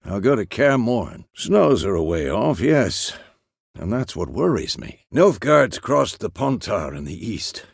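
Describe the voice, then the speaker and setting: A man playing a gruff, seasoned old monster-hunter mentor in a video game, with gravelly, measured, instructive delivery. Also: on the low side, big pitch swings, dry recording, clean studio sound, heavily acted. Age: older. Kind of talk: video game character dialogue.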